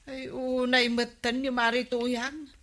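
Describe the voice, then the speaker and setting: A reproachful villager in a radio comedy drama. She speaks slowly in a bright, forceful, rather high voice with an Ilocano accent, questioning with no nonsense. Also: level tone. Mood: concerned.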